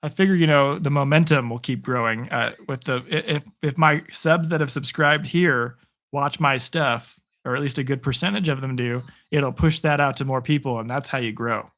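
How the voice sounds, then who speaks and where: A man hoarse from hours of talking, giving live play-by-play and chatting upbeat with viewers on his stream. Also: medium pitch, moderate pace, fairly bright tone. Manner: chatty, upbeat, reads viewer comments aloud. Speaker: sports streamer. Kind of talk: live play-by-play and chat reactions.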